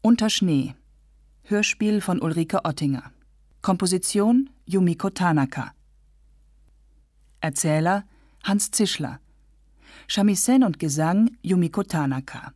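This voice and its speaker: Low, dry-sounding female radio announcer. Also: expressive, a little slow, long pauses, a little mellow, no room sound. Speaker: announcer reading radio drama credits. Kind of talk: scripted credits announcement.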